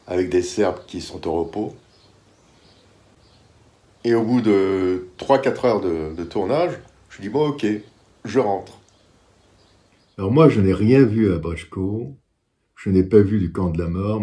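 Mellow-voiced older man telling war stories. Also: sits low. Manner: lively storytelling, quick bursts. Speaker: former war cameraman recounting. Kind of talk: casual spoken recollection. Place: living room at home.

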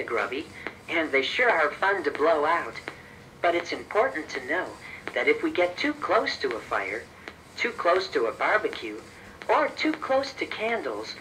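A man's voice: on the high side, very animated, unhurried, pushed and bright, far from the mic, a little hiss or hum, exaggerated voice, poor audio. A man voicing a friendly, patient teddy bear, explaining things reassuringly in a children's educational story. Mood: patient, instructive. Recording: children's cassette tape.

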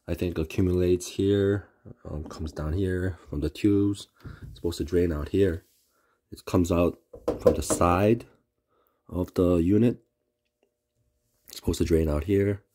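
A man casually explaining a home heater repair, halting with fillers and restarts, recorded on a phone in a basement utility room. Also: deep voice, fairly even intonation, dark tone. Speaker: homeowner showing a furnace repair. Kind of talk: casual how-to video. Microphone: phone camera held close.

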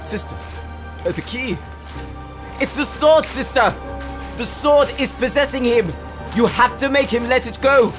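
A man's voice: very high-pitched, a little slow, pauses often, over music. A man acting a wounded, devoted warrior brother in a dramatic fantasy audio roleplay, with a strained, emotive delivery. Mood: panicked, urgent.

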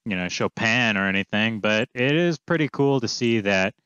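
Low-voiced man, casual tutorial, dull mic. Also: animated, normal speed, fairly bright tone, clean tone, no echo. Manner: informal, offhand, thinking aloud. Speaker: coding tutorial presenter. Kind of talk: casual screencast walkthrough, explaining as he goes. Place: small indoor room, screen recording with webcam.

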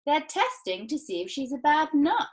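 A woman voicing a blithe, eccentric male factory owner in a storybook, calm and playfully amused. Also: sits high, moderate pace, voice pushed up. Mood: amused, matter-of-fact. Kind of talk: dramatic storybook reading.

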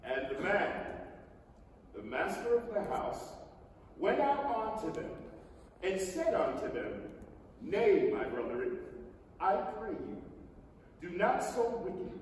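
A man's voice: high-pitched, darkish tone. A man giving a solemn, emphatic, declaimed dramatic reading of scripture on stage. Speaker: stage reader. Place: on stage in a large indoor theatre. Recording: echoey theatre hall from far off, noisy.

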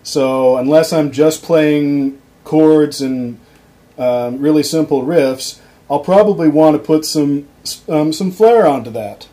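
A young man explaining a guitar circuit on his workbench, casual and halting, with frequent ums and restarts, recorded on a camera mic. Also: on the high side, mellow. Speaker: electronics hobbyist demonstrating a circuit. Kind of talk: casual off-the-cuff tutorial. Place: workbench at home, indoors.

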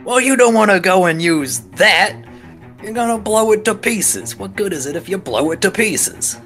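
A man's voice: high voice, animated, normal speed, a bit bright, faint music behind, pitched above the performer's own voice. A man playing a folksy drone owner in a tabletop game, cajoling and pleading.

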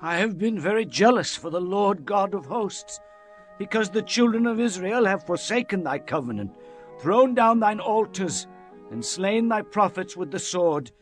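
Casting: fervent, high-voiced Israelite man, earnest and declamatory, for scripture audio drama. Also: animated, normal speed. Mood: anguished zeal. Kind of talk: dramatized Bible acting. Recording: music bed under the voice.

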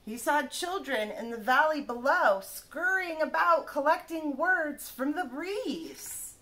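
A woman reads a picture book aloud for children at a very unhurried pace. Her pitch is middling but moves around a lot, and she draws out key words with care. There is faint noise behind her in a home room. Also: lively intonation, sharp tone, rough recording. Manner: careful, drawn-out word-by-word emphasis. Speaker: teacher reading aloud to children. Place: small living room, indoors.

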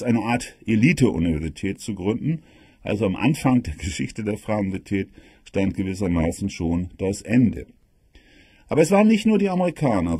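Male history narrator: husky, mellow, emphatic storyteller with dramatic pauses, recorded dry. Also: medium pitch, no echo. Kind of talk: spoken history lecture for an audio production.

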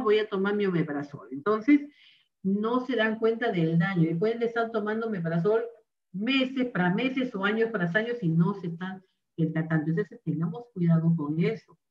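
A woman doctor giving an online medical lecture, explaining carefully and checking in with her listeners. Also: medium pitch. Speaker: rheumatologist giving a talk. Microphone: video call microphone. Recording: dull distant video call audio.

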